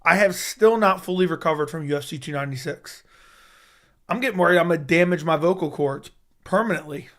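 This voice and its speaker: A young man hosting a casual fight-sports livestream chat, hoarse from losing his voice, excitable and quick to swear as he reacts to news. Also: on the high side. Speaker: MMA YouTube host.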